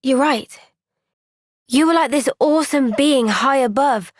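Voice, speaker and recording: Gentle young soldier girl from a fantasy game, slow careful talker, sincere, really dry close sound. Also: high voice, no echo. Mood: sincere, steady. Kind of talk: video game cutscene voice acting.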